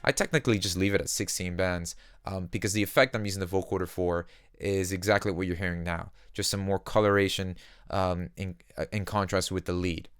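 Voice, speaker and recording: Male tutorial host, smooth lowish voice, plain explanatory delivery, very dry close recording. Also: fairly even intonation, a little fast, no room sound. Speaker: music production instructor. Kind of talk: conversational software tutorial.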